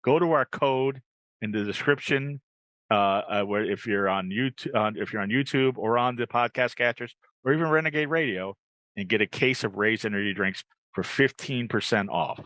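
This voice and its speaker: A man's voice: medium pitch, moderate pace, a bit bright, no echo. A man hosting a casual podcast on a video call, rambling a little and repeating his phrases as he chats and reads plugs. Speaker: podcast host.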